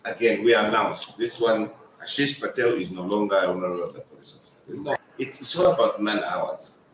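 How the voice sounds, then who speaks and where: A man's voice: on the low side, a little fast. A man giving an informal, emphatic address to a meeting as an official. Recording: echoey room, faint noise.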